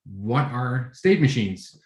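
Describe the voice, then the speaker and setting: A man in his forties from the Netherlands with a Dutch accent, giving a relaxed tech talk over a video call, with many fillers and restarts. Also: mid-range voice, takes it slowly, reverberant. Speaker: conference speaker. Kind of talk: online tech talk with screen share.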